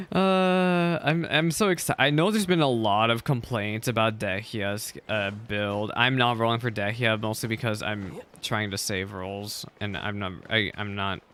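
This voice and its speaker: Bright-voiced chatty male game streamer. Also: fairly low pitch, a little slow, a little hiss or hum. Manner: chatty, excitable, repeats himself a lot. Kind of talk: casual livestream commentary.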